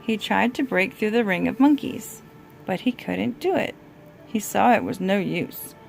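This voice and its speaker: Woman reading a storybook, slightly creaky voice. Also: medium pitch, lively intonation, a little slow, faint music behind, low-quality recording. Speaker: parent reading a storybook aloud to a toddler. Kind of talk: storybook read-aloud with asides to a child.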